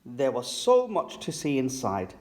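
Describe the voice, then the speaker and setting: A male story reader for young children. His medium-pitched voice rises and falls in a lively way as he narrates expressively. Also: a little slow. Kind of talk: expressive read-aloud storytelling.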